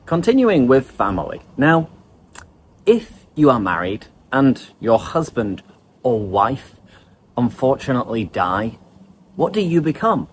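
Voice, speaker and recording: Male English teacher, online lesson, lively and explanatory, pitch swings widely. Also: measured pace, slight background noise.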